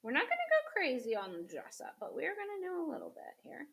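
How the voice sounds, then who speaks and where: A woman's voice: high voice, huge pitch swings, quick pace, creaky, fairly bright tone. A woman hosting a crafting demo, chatting casually to viewers as she paints, a little sniffly from a cold. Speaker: craft host.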